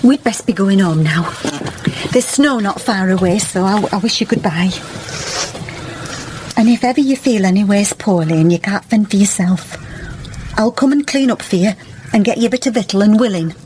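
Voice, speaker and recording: A woman's voice: mid-range voice, normal speed, pauses often, background noise, lightly acted. A woman playing a kindly, pious village wife in a radio drama, chatty and earnest, with a rural English accent. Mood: earnest, coaxing. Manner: chatty, homely, earnestly persuasive.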